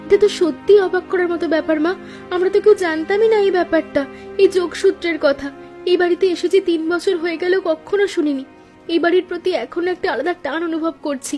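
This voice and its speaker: A woman's voice: very high voice, fast, a little mellow, soft background music. A woman playing a gracious young daughter-in-law, the elder sister in a cartoon story, earnest and polite. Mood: surprised, moved.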